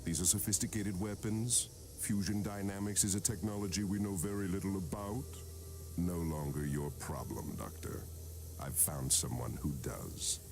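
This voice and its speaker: An actor playing a cold, menacing corporate tycoon villain in a video game, speaking with measured, clipped contempt. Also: male voice, low-pitched, steady tone, gravelly, background noise. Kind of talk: video game cutscene acting.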